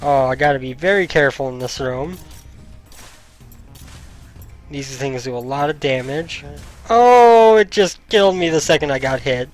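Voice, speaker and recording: A young man commenting casually while playing a video game, thinking aloud with many ums, his voice getting slightly hoarse. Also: mid-range voice, moderate pace. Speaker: gaming YouTuber. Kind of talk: casual let's-play commentary. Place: home room, indoors. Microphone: headset mic. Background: faint game music.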